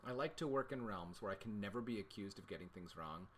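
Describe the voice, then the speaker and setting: A man's voice: fairly low pitch, expressive, quick pace, slight background noise. A middle-aged man co-hosting a casual livestream chat, talking in a playful, mock-serious and wordy, wry way. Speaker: children's author and co-host of a readalong show.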